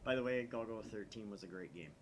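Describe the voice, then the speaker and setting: A man's voice: lowish voice, fast, slight background noise. A middle-aged man casually chatting and commenting as host of a gaming video. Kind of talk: casual chat, commentary during a game.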